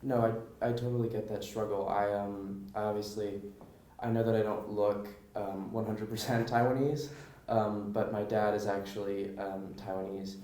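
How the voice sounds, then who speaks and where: An American man conducts a conversational interview in a lowish, mellow voice. He is encouraging and affirms what he hears. The sound is roomy and echoing, with faint noise behind him. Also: little pitch movement, moderate pace. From California.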